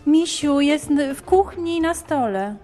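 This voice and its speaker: Woman acting a high-voiced, clingy, coaxing girlfriend. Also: lively intonation, slow pace, dark tone, soft background music. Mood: jealous, whiny. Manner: cooing, pleading, pet-name wheedling. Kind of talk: comic podcast radio play.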